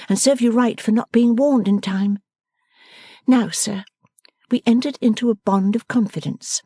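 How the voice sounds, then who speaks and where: Woman doing a high, teasing young woman. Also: warm tone, slightly rough, dry recording. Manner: coaxing, emphatic and theatrical.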